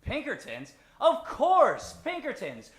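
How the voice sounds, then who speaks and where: A man plays a hapless househusband in a vintage radio ad spoof, folksy and exclamatory. His bright, lively voice sits in an echoing room. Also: very high voice, slow, full character voice. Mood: puzzled, then eager. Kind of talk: 1940s radio ad parody acting. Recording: roomy, echoing stage sound.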